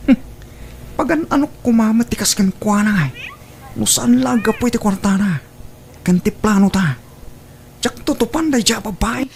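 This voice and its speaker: A man's voice: high-pitched, normal speed, vocal fry, long pauses, slight background noise. A man acting a sly, gold-digging young boyfriend in an audio drama, cajoling as he schemes. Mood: cajoling, scheming.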